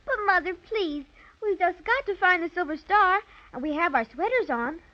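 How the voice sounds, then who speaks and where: A woman's voice: very high voice, lively intonation, normal speed. An actress playing an eager, bossy little girl with a prim, earnest manner in a 1930s children's radio serial. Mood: earnest, pleading. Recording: dull 1930s radio recording.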